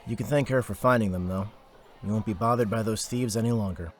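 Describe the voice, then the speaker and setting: A man acting the part of a steady, protective older brother in an audio drama, speaking in a measured, reassuring way. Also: fairly low pitch, close to an everyday voice.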